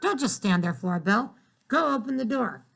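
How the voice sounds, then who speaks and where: A man reading aloud, voicing a scolding, absent-minded mother who is a writer, with a slightly gravelly tone. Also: very high-pitched, expressive, brisk, a bit bright, pitched above the performer's own voice, exaggerated voice. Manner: scolding, impatient.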